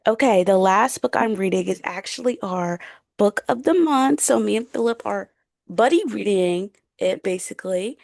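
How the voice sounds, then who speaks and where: A woman's voice: mid-range voice, moderate pace, creaky, darkish tone, dry recording, clean studio sound. A woman chatting casually and emphatically about books as a podcast co-host, in an informal way. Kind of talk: casual book chat.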